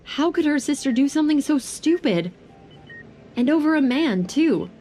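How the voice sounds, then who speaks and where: Mellow female romance narrator. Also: high voice, expressive, moderate pace, soft background music. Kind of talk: scripted romance audio drama narration.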